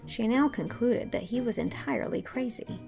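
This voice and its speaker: Gravelly-voiced woman narrating a story. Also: a little high, normal speed, music in the background. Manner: even, storytelling delivery. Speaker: audiobook narrator. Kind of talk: scripted narration.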